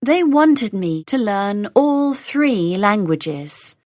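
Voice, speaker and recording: Woman, lesson dialogue reader: unhurried, pitch rising and falling a lot, dry close sound. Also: sing-song, darkish tone, dry recording. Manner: slow, carefully enunciated, lines read three times over. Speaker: dialogue reader for English learners. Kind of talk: scripted lesson dialogue, each line repeated.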